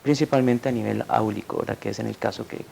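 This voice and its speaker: A man's voice: on the low side, fairly even intonation, fast, gravelly, a little mellow, a little hiss or hum. A man, a researcher speaking conversationally, often tagging "verdad" and giving examples. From Costa Rica. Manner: conversational, repeats "verdad" and "por ejemplo". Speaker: researcher guest. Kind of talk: academic interview answer.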